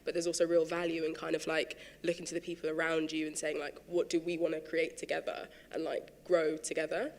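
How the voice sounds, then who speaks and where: A man's voice: fairly high pitch, completely flat delivery, a little fast, few pauses, big-room sound. A man moderating a panel on stage, hedging and linking questions between speakers. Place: large theatre stage.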